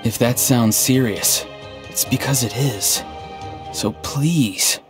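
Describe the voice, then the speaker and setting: Deadpan, earnest young man voiced by an actor. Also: mid-range voice, natural voice. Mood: gloomy, pleading. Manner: deadpan, halting, stiffly sincere. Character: earnest, odd, melancholy young man who tends vegetables. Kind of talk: video game dialogue acting. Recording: game music underneath. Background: background game music.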